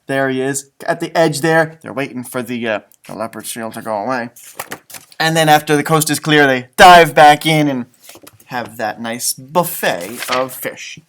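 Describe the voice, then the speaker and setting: A man reads a picture book aloud to young children. His pitch swings widely as he gives the story dramatic emphasis. Between the lines he chats casually, explaining things in simple words. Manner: expressive, dramatic delivery with sound effects and exclamations. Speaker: elementary school music teacher reading storybooks aloud.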